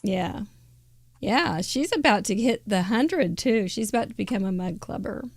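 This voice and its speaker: A playful woman hosts a live crafting show, chatting easily and laughing often. Her voice sits at an ordinary middle pitch, and the recording is dry and close with no room echo. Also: expressive, normal speed. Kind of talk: casual live-stream chat.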